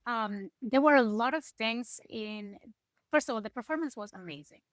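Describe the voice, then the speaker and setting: A middle-aged woman from Ecuador, speaking English with an Ecuadorian Spanish accent as a guest musician, lively and sometimes searching for words. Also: fairly high pitch, normal speed, no echo, studio quality. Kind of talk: casual interview chat.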